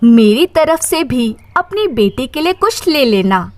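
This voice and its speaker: A timid young wife of a rich household, acted by a woman storyteller speaks hesitantly in a high voice. She is unhappy and evasive, with slow delivery in a moral story. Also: big pitch swings.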